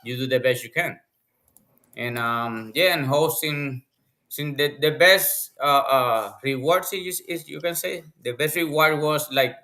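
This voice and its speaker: A man in his forties from Puerto Rico with a Puerto Rican accent, chatting excitedly as a podcast guest over a video call and often restarting his sentences. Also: medium pitch. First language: Spanish. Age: 40s. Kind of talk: casual chat.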